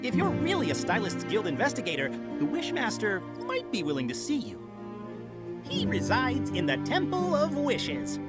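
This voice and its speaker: A woman voicing a brisk, fussy, matter-of-fact sprite supervisor in a video game, with emphatic delivery. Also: fairly high pitch, huge pitch swings. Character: brisk, fussy, dust-hating sprite supervisor in a fantasy world. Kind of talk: animated video game voice acting. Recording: prominent background music. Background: game music.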